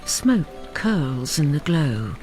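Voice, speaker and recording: A woman narrating a radio drama in a measured, solemn, scripted storytelling manner. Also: low-pitched, very animated, warm tone, faint music behind, rough recording.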